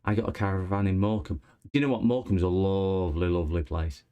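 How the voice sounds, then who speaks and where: A man's voice: low-pitched, fairly even intonation, fast talker, warm tone, clean tone. A man, a repair channel host, chatting casually with viewers while he works on a laptop. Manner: chatty, chuckling, replies to viewers while working. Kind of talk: casual live-stream chat while repairing.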